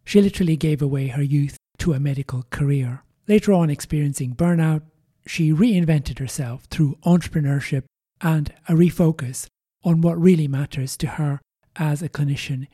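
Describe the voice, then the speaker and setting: A man hosting a podcast, interviewing a guest in formal, deliberate phrasing and a measured conversational style. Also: fairly high pitch, warm tone, slightly rough.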